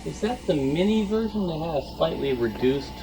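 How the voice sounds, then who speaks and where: A man's voice: on the high side, expressive, normal speed. A man speaking up as a knowledgeable audience member in an informal club discussion. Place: club meeting room. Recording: noisy meeting room.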